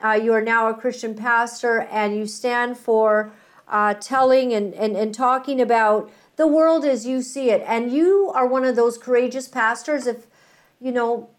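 Higher-voiced woman hosting a talk show. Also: fairly even intonation, measured pace. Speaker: talk show host and interviewer. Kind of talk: conversational commentary with Bible reading, introducing a guest.

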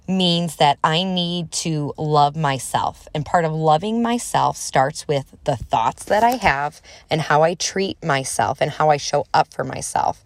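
A woman's voice: low-pitched, no echo. A woman in her thirties hosting a solo motivational podcast, speaking straight to her listeners in an encouraging, conversational way. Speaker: podcast host.

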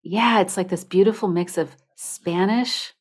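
A woman's voice: mid-range voice, a little slow, slightly rough. A woman hosting an interview, playful and chatty, often breaking off and restarting her sentences. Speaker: podcast host. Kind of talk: casual interview conversation.

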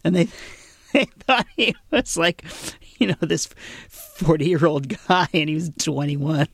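A chatty male podcast host who laughs a lot and trips over his own sentences. His slightly raised voice has a gravelly edge, and it sounds very dry and close to the mic. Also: a little high, lively intonation, slow pace, no echo. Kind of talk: casual podcast chat.

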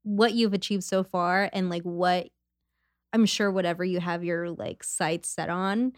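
A woman's voice: lowish voice, steady tone, unhurried, no room sound, studio quality. Upbeat slangy podcast host woman. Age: late 20s. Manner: enthusiastic, slangy reactions, rambling questions. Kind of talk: casual conversational interview.

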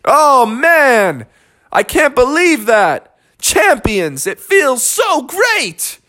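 Guy doing happy woodland animal in a kids story, high, bouncy, generous. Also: sing-song, normal speed. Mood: elated. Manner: upbeat, easygoing, magnanimous. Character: cheerful, generous woodland creature champions.

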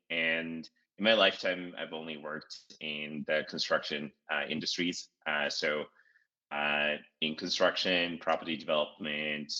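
CEO guest, very deep and bright, measured with many pauses, on a dull lo-fi recording. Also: male voice. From Zimbabwe. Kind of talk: interview answers. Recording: dull lo-fi audio.

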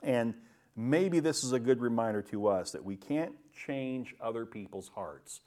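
A man delivers a sermon as a pastor, teaching through scripture. His delivery is emphatic, with pointed questions and deliberate pauses. His voice sits at a middling pitch. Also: lively intonation, warm tone. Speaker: pastor preaching. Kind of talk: sermon, verse-by-verse Bible teaching.

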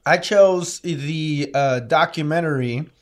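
A man, chatting casually as a podcast guest in a halting, slangy, playful storytelling way. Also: fairly high pitch. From born in Guatemala, raised in Los Angeles. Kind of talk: casual chat.

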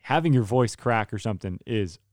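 A man's voice: lowish voice, lively intonation, fairly quick, darkish tone, dry recording, studio quality. A young man and worship leader telling a story in casual podcast chat, earnest and often restarting his sentences.

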